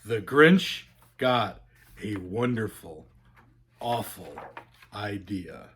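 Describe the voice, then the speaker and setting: A man reading a children's storybook aloud theatrically, with emphatic phrasing and drawn-out pauses. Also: lowish voice, expressive, a bit bright, slight background noise.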